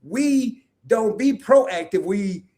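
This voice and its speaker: A man's voice: sits high, animated, takes it slowly, mellow. A man hosting a casual interview chat, playful and slangy, often turning to address the audience. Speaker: host.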